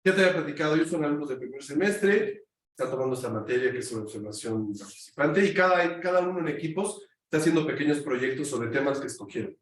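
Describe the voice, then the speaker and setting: A man's voice: fairly high pitch, animated, fast talker. A man teaching a university class, casually calling his students closer and introducing a guest. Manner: informal, coaxing students to come closer. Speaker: university teacher, host of the session. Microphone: far room mic. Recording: echoey classroom from far off.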